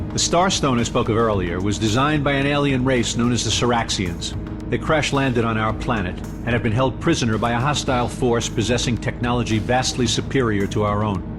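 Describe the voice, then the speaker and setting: A man's voice: on the low side, close to an everyday voice. With music swelling behind him, a villainous scientist played by a man holds forth in audio-drama style. He is suave and condescending, explaining his work with theatrical deliberation and a fanatic's conviction.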